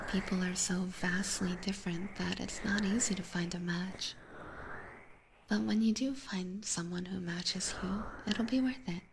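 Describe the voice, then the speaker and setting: A woman doing casual ASMR chat in a soft, breathy whisper close to a binaural mic, informal and hesitant, chatting to viewers. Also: lowish voice, slight background noise. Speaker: ASMR streamer and host. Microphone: close binaural ear microphone.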